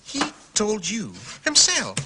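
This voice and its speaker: An actor playing a formal, literal-minded police sergeant in a radio drama, stiffly polite and official. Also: male voice, sits high, huge pitch swings, slow, background noise, exaggerated voice. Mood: dogged.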